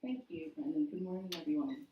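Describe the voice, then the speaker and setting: A woman from Zimbabwe in her thirties, sharing her personal faith story at a church service in a steady, reflective way with frequent ums. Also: deep voice, fast talker. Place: church sanctuary. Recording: echoey room from far off, dull.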